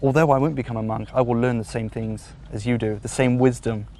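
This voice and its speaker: A man presenting a documentary to camera outdoors at a rural monastery, speaking in a formal, measured way with some repeated phrasing, and chatting cheerfully with children. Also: mid-range voice, fairly even intonation, a little mellow, slight background noise. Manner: jokes and chats cheerfully with children.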